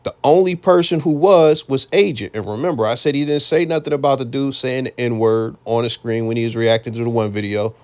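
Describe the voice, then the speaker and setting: A man's voice: medium pitch, fairly quick, hardly any pauses, a little mellow. An American man hosting a reaction commentary, talking bluntly and casually with frequent profanity. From United States. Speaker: commentary channel host.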